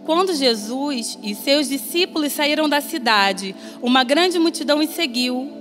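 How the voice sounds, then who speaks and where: A woman preacher with a Brazilian Portuguese accent, reading scripture and giving an earnest, measured sermon that rises in emphasis. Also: high voice, expressive. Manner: earnest, measured, rising emphasis on quoted voices. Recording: long reverb, soft music behind.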